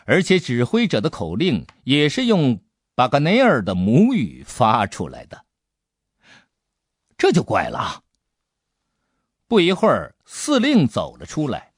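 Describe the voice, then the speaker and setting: A man's voice: mid-range voice, dry recording, clean studio sound. A man reading an adventure novel aloud as a scripted audiobook narration.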